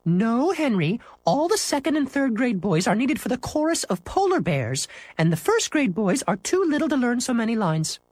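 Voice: mid-range voice, big pitch swings, moderate pace, few pauses, darkish tone, dry recording, pitched above the performer's own voice. A storybook narrator voicing a firm, smiling woman schoolteacher who announces things to pupils. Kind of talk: children's storybook character voice.

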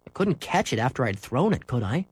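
A man's voice: mid-range voice, very animated, a little fast, husky, no echo. A man reading aloud voices an earnest young boy who sounds apologetic and a little defensive.

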